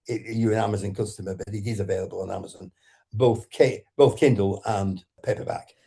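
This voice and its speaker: A man's voice: lowish voice, fairly even intonation, fairly quick, vocal fry, mellow. A man in his eighties from Lancashire, a guest author speaking in a casual interview with a northern English accent, often hesitating and restarting. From Lancashire, England. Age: 80s. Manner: hesitant, many ums and restarts.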